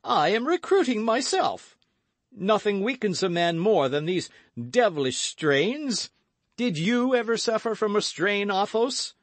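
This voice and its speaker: A man's voice: sits high, sing-song, unhurried, no room sound, pitched above the performer's own voice. A man voicing a boastful, hearty gourmand musketeer with a grand, exclamatory delivery in a storybook reading. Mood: hearty, expansive.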